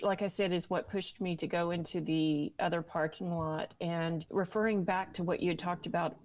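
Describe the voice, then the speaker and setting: A woman interview guest recounting her own experience in a candid, emphatic way, with dramatic pauses on key words. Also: fairly low pitch, fairly even intonation, a little mellow, no echo. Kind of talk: personal storytelling in an interview.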